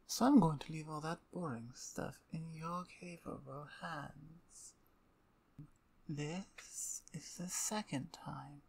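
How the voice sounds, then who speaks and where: A seductive, domineering female vampire, acted by a woman for an ASMR roleplay. She speaks slowly and deliberately, teasing and threatening at once. Her voice is breathy and husky with a crackle of vocal fry, often sinking toward a whisper. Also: sits low, darkish tone, exaggerated voice.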